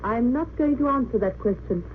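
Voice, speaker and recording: A woman's voice: on the high side, lively intonation, normal speed, natural voice. An actress in an old-time radio drama playing a composed, resolute English nurse on trial for her life, speaking plainly and earnestly. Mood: firm, unflinching. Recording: tinny narrow-band old radio recording, hissy.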